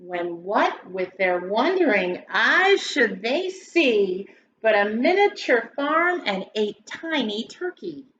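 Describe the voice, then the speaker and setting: A woman's voice: fairly high pitch, very animated, slow, fairly bright tone. A woman reading a children's story aloud like a grade school teacher, with theatrical, emphatic delivery. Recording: roomy echo, dull sound, faint noise.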